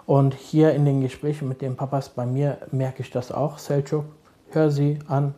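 A middle-aged man, a social worker, answering interview questions calmly and thoughtfully, often pausing to search for words. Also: medium pitch. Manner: calm, measured, searching for words with many fillers. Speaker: social worker advising fathers.

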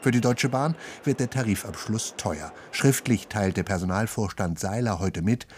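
A man narrating a scripted news report in a steady, factual delivery. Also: fairly low pitch, normal speed, a little hiss or hum.

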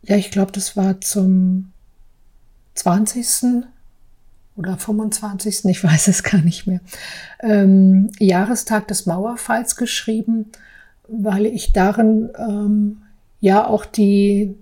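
A woman gives a personal account in a measured, deliberate way. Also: mid-range voice, fairly even intonation, dark tone. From Berlin, East Germany. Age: 40s. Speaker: artist telling her own story. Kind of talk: personal account for a podcast, partly read aloud.

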